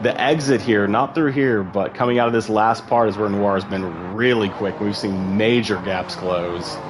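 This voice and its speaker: A man's voice: lowish voice, fairly even intonation, normal speed, noisy background. A man giving casual, rambling live commentary on an online sim race, full of fillers and asides.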